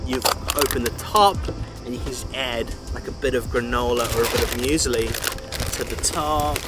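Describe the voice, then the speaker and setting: A man's voice: mid-range voice, normal speed, loud music behind the voice. A man chatting casually to camera as a travel vlogger, upbeat and fond of explaining things.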